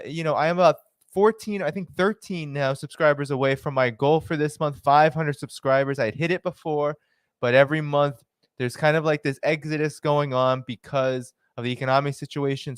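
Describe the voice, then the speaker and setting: A man hosting his own live stream, chatting casually, greeting viewers by name and filling pauses with "uh" and "um.". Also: on the high side, smooth, no room sound, clean studio sound. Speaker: livestream host. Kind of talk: casual livestream chat.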